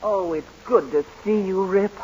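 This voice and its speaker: An actress playing a motherly, fretful middle-aged small-town mother with a breathy voice, in a 1940s radio play. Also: medium pitch, moderate pace, a little mellow, muffled recording, slight background noise, close to an everyday voice.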